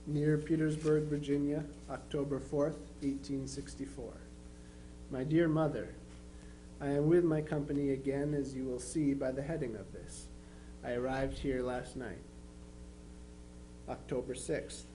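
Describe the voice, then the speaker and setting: A faintly noisy live recording of a man acting a dutiful young Union soldier. The voice is warm and mellow at a middle pitch, reading a letter home with understated, matter-of-fact calm. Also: measured pace, natural voice. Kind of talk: dramatized reading of historical letters.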